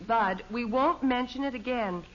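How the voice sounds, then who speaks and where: A woman playing a patient, reassuring mother in a 1950s American radio sitcom, soothing and gently firm, with an American accent. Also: fairly high pitch, a little slow, slight background noise. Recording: dull old radio recording.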